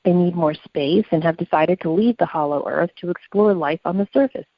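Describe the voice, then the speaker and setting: A woman's voice: on the low side, fairly quick, no room sound, lo-fi sound. A woman co-hosting a podcast, narrating from prepared notes in an explanatory, storytelling way. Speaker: podcast co-host.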